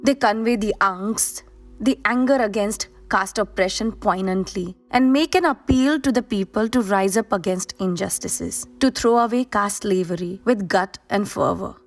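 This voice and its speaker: A woman podcast host reading a scripted narration in a steady, earnest, explanatory way. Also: medium pitch, moderate pace, soft background music.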